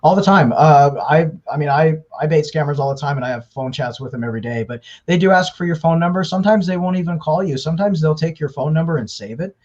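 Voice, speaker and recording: Man, slightly high voice, interview guest, conversational, lots of fillers, dull lo-fi recording. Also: fast, few pauses, a little mellow. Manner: conversational, explains at length with frequent fillers and restarts. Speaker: interview guest, scam baiter. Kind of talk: casual interview talk. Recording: dull lo-fi audio.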